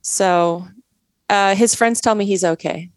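A Canadian woman in her forties speaks casually as an interview guest. She keeps reaching for words with fillers and restarts, at a middling pitch. The sound is dry and close, with no room in it. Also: slow, no echo. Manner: chatty, many fillers and restarts.

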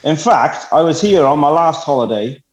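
A man's voice: on the high side, animated, normal speed. A man with a South Welsh accent, chatting casually as a podcast guest from an echoey room. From South Wales. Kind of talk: casual chat. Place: holiday lodge, indoors.

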